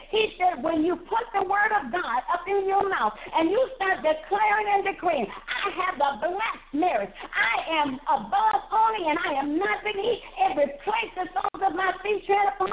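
A woman preaching a fervent sermon, reading scripture and exhorting in an emphatic, declamatory way with repeated phrases. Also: very high voice. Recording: tinny, phone-like narrowband sound.

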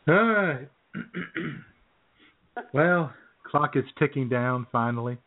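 A man speaks as a radio host in a casual, conversational style at an ordinary pitch. The audio is thin and narrow, as if coming down a phone line. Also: slow pace.

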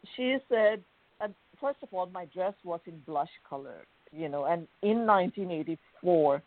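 A woman's voice: on the low side, lively intonation, moderate pace, no echo. A woman from Thailand, a guest on a radio interview, telling her story in a chatty, enthusiastic way. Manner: chatty, enthusiastic, frequent "you know". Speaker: guest, bridal designer. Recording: phone-like narrow band.

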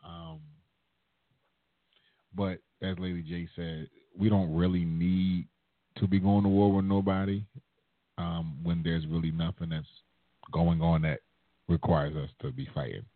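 A man's voice: low voice, level tone, measured pace, long pauses, no echo. A man co-hosting a casual talk show, easygoing and hesitant with filler words, on a tinny, dry recording. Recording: tinny phone-like, dry sound.

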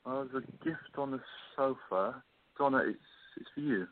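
A man's voice: mid-range voice, moderate pace, no room sound, natural voice. A man playing a devoted fiancé who can get testy, in an amateur radio play. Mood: gentle. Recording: tinny, phone-like sound.

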